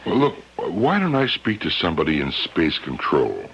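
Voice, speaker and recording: A man's voice: sits low, huge pitch swings, normal speed, vocal fry, fairly bright tone, slightly rough, full character voice. An actor playing a practical, courteous man in a radio drama, casual and reassuring in his way of talking. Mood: calm, practical. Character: level-headed, courteous man, peacemaker and host. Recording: noisy, dull old radio recording.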